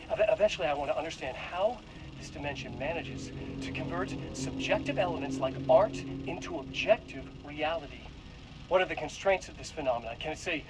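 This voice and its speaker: An actor playing an eager, awkward senior scientist addressing staff in a video game, stammering and rambling earnestly. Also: male voice, a little high, close to an everyday voice.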